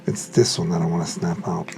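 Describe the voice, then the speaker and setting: A man's voice: sits low, level tone, fairly quick, gravelly, noisy background. A man giving casual hands-on unboxing commentary, muttering to himself as he works with his hands. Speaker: hobbyist reviewer.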